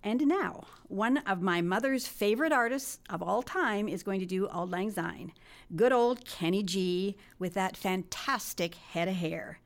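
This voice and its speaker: A woman's voice: mid-range voice, measured pace. A woman hosting a radio interview, playful and teasing, joking with her guest. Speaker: radio host.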